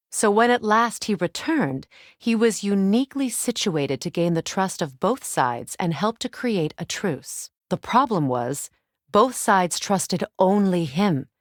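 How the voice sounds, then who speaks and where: A warrior goddess from a Norse fantasy video game, a proud woman with a slightly low voice. Her phrasing is formal and measured with a dry edge. The sound is studio-dry, with no room around it. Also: no room sound. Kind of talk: dramatic video game voice acting.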